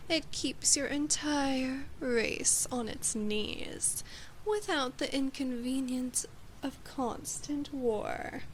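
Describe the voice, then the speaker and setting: A woman voicing a haughty, domineering demon queen in an ASMR roleplay, measured and condescending, slipping at times into whispers. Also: high voice, slight background noise, poor audio. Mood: measured menace. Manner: imperious, measured, condescending, drifting into breathy whispers. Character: haughty, domineering female demon queen addressing her human knight. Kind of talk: ASMR roleplay, scripted monologue.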